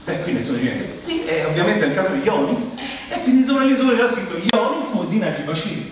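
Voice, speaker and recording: A man's voice: high-pitched, fast talker. Roman man's monologue in a very echoey hall. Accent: Roman Italian. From Rome, Italy. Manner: animated, rambling, acts out quoted dialogue, addresses the audience. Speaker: actor performing an autobiographical stage monologue. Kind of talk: humorous storytelling monologue, conversational. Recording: very echoey hall, distant mic, clear background noise.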